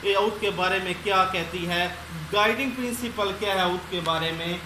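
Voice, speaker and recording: A woman's voice: mid-range voice, normal speed. A woman giving an explanatory law lecture, reading out points in English and explaining them in mixed Hindi-Urdu. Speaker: law lecturer and channel host. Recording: roomy echo, clear background noise.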